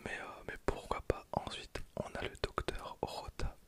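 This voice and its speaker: An ASMR creator in their twenties speaking in a hushed, breathy whisper, casually commenting on card packs and softly repeating phrases. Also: a little slow. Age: 20s.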